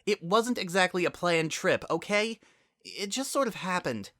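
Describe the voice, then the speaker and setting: A man's voice: high voice, big pitch swings, moderate pace, fairly bright tone, no room sound, studio quality, pitched above the performer's own voice, exaggerated voice. A man voicing a defensive young female unicorn pony, a guilty younger sister, in a dramatic story reading. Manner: blunt, defensive, emotional.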